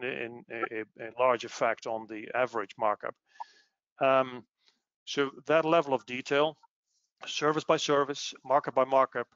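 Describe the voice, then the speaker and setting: Bright-voiced man presenting, dull recording. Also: mid-range voice, dry recording. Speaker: webinar presenter, tax expert. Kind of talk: explanatory business webinar presentation. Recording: dull lo-fi online audio.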